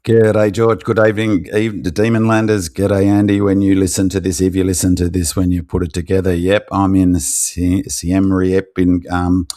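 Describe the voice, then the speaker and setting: Croaky, low, flat-toned Australian man. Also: no room sound, studio quality. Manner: rambling, halting. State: hoarse from yelling. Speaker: podcast co-host. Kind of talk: casual sports podcast chat. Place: hotel room.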